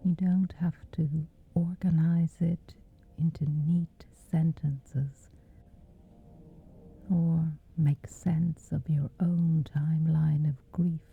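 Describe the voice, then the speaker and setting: A woman guides a sleep meditation in a soft, low whisper, lingering unhurriedly over every phrase. Her voice comes through thin and narrow, with gentle music playing faintly underneath. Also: fairly even intonation, vocal fry, slightly rough. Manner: slow gentle soothing delivery.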